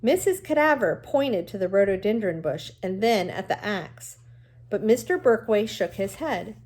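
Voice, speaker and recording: A woman reading a novel aloud like a teacher, in an expressive storytelling manner with explanatory asides. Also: mid-range voice, normal speed.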